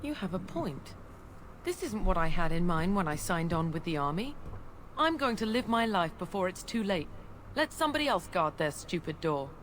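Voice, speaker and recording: An actress playing a disillusioned, easily swayed fort guard in a fantasy video game. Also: female voice, on the low side, sing-song, normal speed, fairly bright tone, slight background noise. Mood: fed up. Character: bored female fort guard, gullible and disillusioned.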